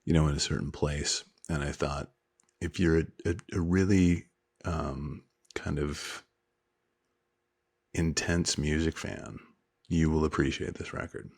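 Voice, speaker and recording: A middle-aged man co-hosting a casual music podcast, explaining his views in a measured, reflective way. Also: rumbling low, steady tone, darkish tone, husky. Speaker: podcast co-host. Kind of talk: casual chat.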